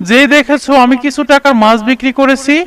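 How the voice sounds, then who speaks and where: A man voicing an excitable, tight-fisted village fisherman in a cartoon. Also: extremely high pitch, fast talker. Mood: dismissive. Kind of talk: cartoon voice acting.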